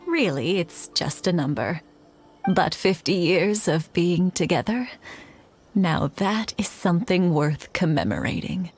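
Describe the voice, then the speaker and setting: Brief: a wistful older wife, very slow, expressive tone, light score under. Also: female voice, medium pitch. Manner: slow, fond, gently teasing. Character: affectionate old wife, teasing and wistful.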